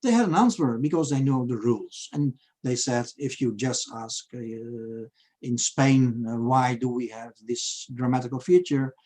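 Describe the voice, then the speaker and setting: A man in his sixties with a Dutch accent, giving a halting academic seminar lecture over a video call and reading out quoted passages. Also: medium pitch, a little mellow, rough recording. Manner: halting, many fillers, reads Spanish quotes aloud.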